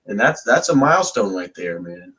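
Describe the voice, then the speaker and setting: A young man with a deep voice, a backyard wrestler guest on a podcast, boasting and trash-talking in wrestling promo style. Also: sing-song, moderate pace, sharp tone, muffled recording. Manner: cocky, trash-talking, repeats catchphrases.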